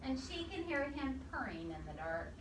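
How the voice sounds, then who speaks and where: A woman reading a storybook aloud to young children, expressive, pausing to ask simple questions and repeat answers. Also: high voice. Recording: echoey, distant mic, clear background noise.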